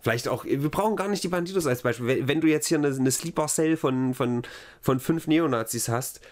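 A man's voice: medium pitch, a little fast. A man hosting a podcast in casual conversation, telling rambling anecdotes in a sardonic way. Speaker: podcast host.

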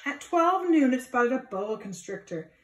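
A woman's voice: high-pitched, very animated, a little slow, slight background noise. Woman reading aloud, echoey room. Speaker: storybook reader for children. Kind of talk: expressive read-aloud with simple explanations for children. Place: small plain indoor room. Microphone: phone or camera a few feet away. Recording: echoey room, distant phone mic.

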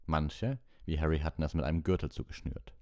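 A man with a remarkably deep voice reads a storybook aloud. It is scripted audiobook narration, recorded close and dry. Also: fairly quick, dark tone, no echo. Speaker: audiobook reader.

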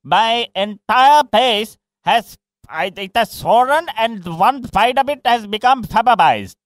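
A man's voice: very high voice, lively intonation, no room sound, heavily acted. A man putting on a tongue-tied, stammering Sri Lankan caller. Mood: distressed. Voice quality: slurred, tongue-tied speech. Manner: stammering, repetitive, halting. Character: anxious man with a swollen face and garbled tongue. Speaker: radio prank caller.

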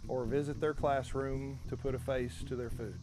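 A man's voice: mid-range voice, steady tone, normal speed, music in the background. A middle-aged man delivering a scripted informational presentation. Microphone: lapel.

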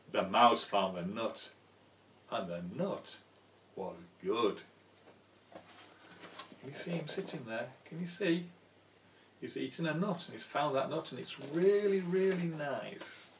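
A man's voice: mid-range voice, expressive, slow pace, pauses often, a little mellow, a little hiss or hum. An older man reading a children's story aloud expressively, recorded indoors a little away from the microphone. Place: small room indoors full of soft toys. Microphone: camera a short distance away. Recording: roomy, distant microphone.

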